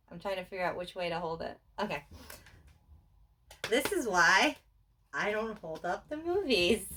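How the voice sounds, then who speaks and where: A woman's voice: mid-range voice, pushed and bright. A woman chatting casually and playfully in an unscripted unboxing at home, with exclamations and jokes at her own expense. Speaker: co-host showing collection items. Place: indoors at home on a couch. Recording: distant mic, noisy room.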